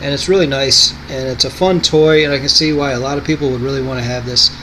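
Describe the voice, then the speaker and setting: A man's voice: mid-range voice, steady tone, fast, sharp tone, a little hiss or hum, poor audio. A man giving a casual product demonstration in an offhand, rambling way.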